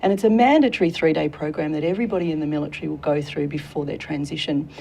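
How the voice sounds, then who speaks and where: A woman's voice: low-pitched, fast, creaky, a little hiss or hum. An Australian woman in her forties, an enthusiastic career consultant talking as a guest in a studio interview. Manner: warm, enthusiastic, laughs often.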